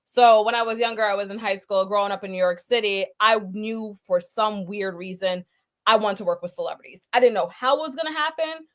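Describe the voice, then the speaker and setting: A chatty young woman from Guyana, a guest on a podcast, telling her story in an easy, casual way. She talks at a medium pitch and leans heavily on "like" as she goes. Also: brisk, a bit bright. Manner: chatty, many "like" fillers. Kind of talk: casual chat.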